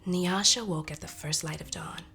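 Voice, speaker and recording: A woman's voice: on the low side, moderate pace. A woman narrating a folktale expressively, as in a storybook read-aloud. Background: soft music.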